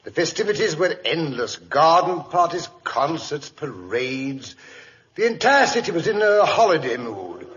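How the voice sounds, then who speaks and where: An actor playing a genial, fussy older English gentleman who tells stories in 1940s radio style with a British accent. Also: fairly high pitch, big pitch swings, a little slow. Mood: storytelling. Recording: muffled, noisy vintage radio recording.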